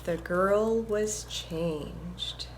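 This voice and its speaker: A woman reading aloud and teaching music to young children in a gentle, encouraging, instructive manner. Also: on the low side, extremely slow, background noise. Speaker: violinist presenting a children's story time. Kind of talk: picture book read-aloud and music lesson for children.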